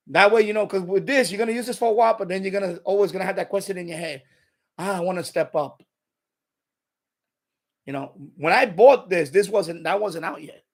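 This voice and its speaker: A man hosting an unscripted hobby livestream, chatting in an emphatic, opinionated way and repeating himself to drive points home. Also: sits high. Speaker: live stream host.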